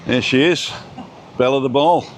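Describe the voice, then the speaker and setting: A man's voice: mid-range voice, moderate pace, a little hiss or hum. Chatty man live-streaming on a walk. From Wales. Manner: chatty, reads viewer comments aloud. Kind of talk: casual chat while walking. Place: outdoors on a seafront walk.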